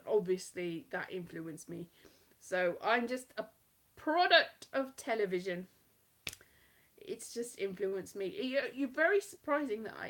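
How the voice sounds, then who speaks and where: A Welsh woman with a light West Country lilt, talking casually to viewers of her live stream from a home kitchen. She rambles in a chatty, self-mocking way, her voice sitting slightly high. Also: fairly bright tone. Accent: light West Country-tinged Welsh. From South Wales. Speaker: comedian performing at a festival.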